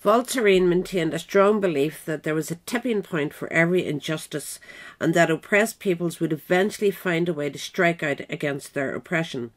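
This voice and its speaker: A woman narrator reading a scripted political essay in a measured, written style. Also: low-pitched.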